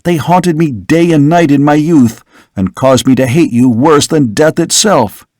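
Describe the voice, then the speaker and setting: A man's voice: fairly high pitch, expressive, moderate pace, darkish tone, dry recording, clean studio sound, pitched above the performer's own voice. A man reading an earnest young son in an audiobook, who pleads insistently and with passion. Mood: impassioned.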